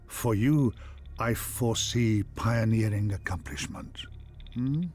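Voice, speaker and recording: A man as ancient artificial intelligence, low voice, grandiose, measured, theatrical game acting, wistful philosopher. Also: darkish tone. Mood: warm, uplifted. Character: ancient ascended male machine mind, wistful and grandiose.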